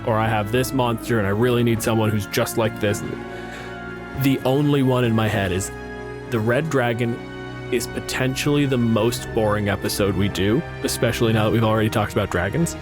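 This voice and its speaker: A man's voice: on the low side, fairly even intonation, fairly quick, music in the background. A man chatting casually and frankly as a podcast co-host and game master, swearing freely.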